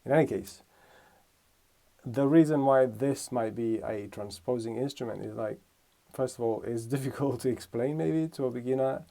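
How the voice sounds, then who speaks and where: A man's voice: mid-range voice, moderate pace, vocal fry, dark tone. A man from Romania giving a casual music lesson, thinking aloud and correcting himself as he explains. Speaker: music teacher.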